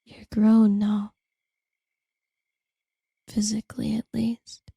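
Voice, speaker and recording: A woman voicing a possessive, seductive lamia former lover in an intimate ASMR audio roleplay, speaking in a hushed, breathy whisper with slow, lingering condescension. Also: medium pitch, completely flat delivery, no room sound, studio quality, exaggerated voice. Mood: bitter, possessive.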